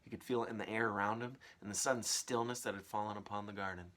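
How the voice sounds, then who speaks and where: A man's voice: lowish voice, steady tone, fast talker, fairly bright tone. A man speaking as a teacher, telling a children's story aloud with casual asides. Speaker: teacher reading aloud to pupils.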